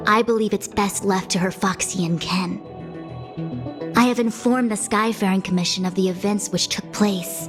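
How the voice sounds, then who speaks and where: Actress as a composed, weary diviner official; formal, measured, a bit put-upon; game music under. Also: female voice, mid-range voice, close to an everyday voice. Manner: measured, formal and slightly exasperated. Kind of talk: game cutscene voice acting.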